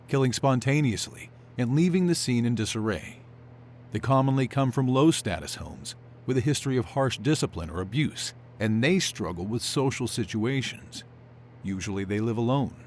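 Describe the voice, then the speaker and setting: A composed academic expert, played by a man, speaks in a mid-pitched male voice. He lectures clinically, as in an interview for an audio drama podcast, with a faint hum of noise behind him. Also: a little mellow, natural voice.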